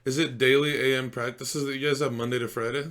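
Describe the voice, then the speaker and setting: A man's voice: mid-range voice, steady tone, fast talker, a bit bright. A young man hosting a podcast and asking casual interview questions.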